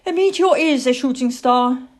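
A woman's voice: high-pitched, normal speed, pitched above the performer's own voice, lightly acted. A woman voicing a genial, enthusiastic grown man who stargazes, explaining politely and exclaiming.

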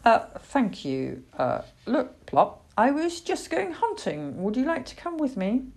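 Woman acting male house cat, storybook character, languid drawl, slow pace, polite, modest, kindly. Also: fairly high pitch, huge pitch swings, creaky, voice pushed up, heavily acted. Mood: reassuring.

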